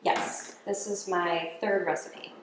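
A woman's voice: fairly low pitch, steady tone, slow. Candid woman in a roomy home kitchen. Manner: candid, self-deprecating, laughs easily. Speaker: interviewee, home cook. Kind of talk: casual interview while cooking. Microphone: camera mic at a distance. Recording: roomy kitchen sound with faint background hum.

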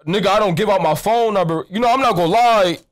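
High-pitched American man, rambling and profane. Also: quick pace. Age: young adult. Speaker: prank caller and streamer. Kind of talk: prank phone call in casual street slang. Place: indoors at a streaming desk. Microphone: close studio mic with pop filter, headset on.

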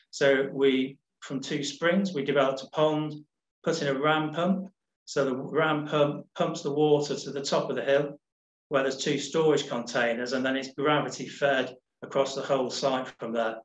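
A middle-aged man giving a casual slide talk as a nature reserves manager, relaxed and rambling with many ums and restarts. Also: mid-range voice, echoey room, rough recording. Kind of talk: casual presentation over slides. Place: home room on a video call.

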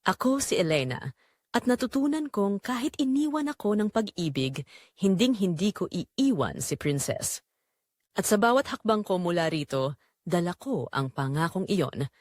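A woman with a Filipino accent reads dramatic first-person scripted narration as a storyteller, in an emotional, expressive way. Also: medium pitch, normal speed, dry recording.

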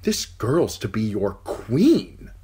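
A man's voice: fairly low pitch, wildly swooping pitch, slow pace, dark tone, husky, voice pushed up, full character voice. A man voicing a cutting, commanding dwarf lord who scolds with scorn. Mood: indignant. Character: sharp-tongued, commanding adult dwarf lord.

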